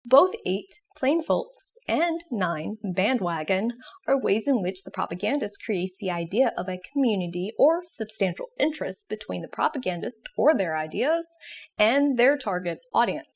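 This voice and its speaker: A woman with a strongly creaky, fry-laden voice reads scripted educational narration. She presents concepts as the host of an explainer series, at an ordinary middle pitch. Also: expressive, normal speed.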